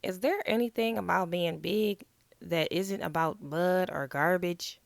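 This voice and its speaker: Stubborn young frog for a picture book female reader, slow, childlike protests, dry close sound. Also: lowish voice, vocal fry, no room sound. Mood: protesting. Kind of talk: picture-book read-aloud.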